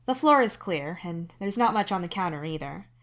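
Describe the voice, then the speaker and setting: A woman narrates a scripted story in the second person. Her voice is a little on the low side, delivered as an audiobook-style reading. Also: expressive, normal speed. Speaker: podfic narrator and author.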